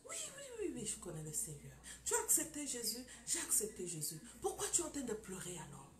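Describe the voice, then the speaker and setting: A woman's voice: medium pitch, wildly swooping pitch, a little fast. Impassioned woman preaching in an echoey room. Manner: impassioned, repeats phrases, rhetorical questions. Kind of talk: preaching testimony talk. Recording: echoey room with faint ambience.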